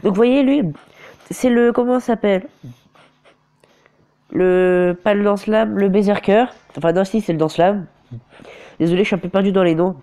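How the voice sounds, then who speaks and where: A man's voice: sits high, moderate pace, long pauses, mellow, clear, resonant tone. Hesitant guy with a cold. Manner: hesitant, often restarts and corrects himself. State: has a cold and a sore throat. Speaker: mobile game commentator. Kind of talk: casual gameplay commentary. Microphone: phone screen recorder.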